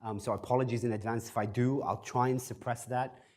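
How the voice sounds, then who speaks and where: Fast-talking man giving casual lecture. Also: mid-range voice, steady tone, a little mellow. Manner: fast-talking, self-deprecating, frequent fillers. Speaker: guest speaker at startup workshop. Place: event space, in front of audience.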